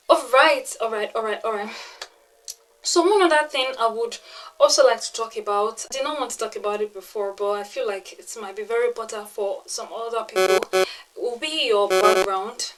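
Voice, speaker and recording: A woman's voice: fairly high pitch, normal speed, fairly bright tone, low-quality recording. A young woman with a Nigerian English accent gives a casual phone-video tutorial from her bedroom. She is chatty, says um often, and repeats points for emphasis. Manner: chatty, repeats herself for emphasis, frequent ums. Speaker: tech and lifestyle YouTuber. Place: plain-walled bedroom, indoors. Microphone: small external clip-on mic.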